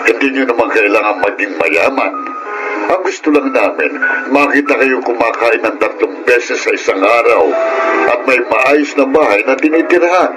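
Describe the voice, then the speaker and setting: A middle-aged father with a Filipino accent, acted by a man, in a sentimental TV drama. His voice sits at a medium pitch and is plain-spoken and caring. Music plays clearly behind him. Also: expressive, normal speed, close to an everyday voice, low-quality recording. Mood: earnest, tender.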